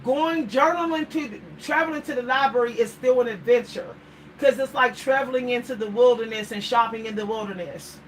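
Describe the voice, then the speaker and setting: A woman's voice: a little high, fairly quick. A middle-aged woman with a Pittsburgh accent, talking casually to her livestream viewers in a rambling way and often repeating words. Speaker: vlogger. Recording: distant mic, faint background noise.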